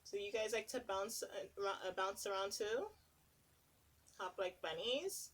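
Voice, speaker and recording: A woman's voice: medium pitch, a little slow. A woman preschool teacher reading a picture book aloud to young children, stopping to ask them questions. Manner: sing-song, asks children questions between pages.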